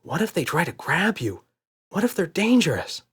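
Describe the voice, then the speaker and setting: A man acts out a worried little girl from a children's storybook. The voice is high and swoops up and down. She is insistent, pleading and full of questions. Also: big pitch swings, moderate pace, fairly bright tone, husky, heavily acted. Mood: questioning.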